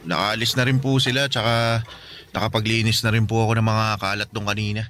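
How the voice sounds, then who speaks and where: An actor playing a shy, respectful Filipino teenage son in a radio drama, hesitant and earnest. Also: male voice, fairly low pitch, steady tone, brisk, fairly bright tone, a little hiss or hum, natural voice. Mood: eager, helpful. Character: shy, respectful teenage son, fresh high school graduate.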